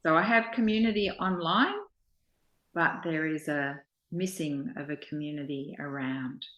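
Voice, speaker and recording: A low-pitched woman speaks very slowly, sharing personal reflections. Her phrasing is halting and thoughtful, and the sound is roomy and echoing. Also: a bit bright, highs cut off.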